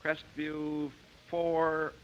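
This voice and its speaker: A man's voice: fairly high pitch, completely flat delivery, extremely slow, lo-fi sound. A man acting as an easygoing studio bandleader in a 1950s radio comedy, speaking as a matter-of-fact straight man. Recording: noisy radio recording before studio audience.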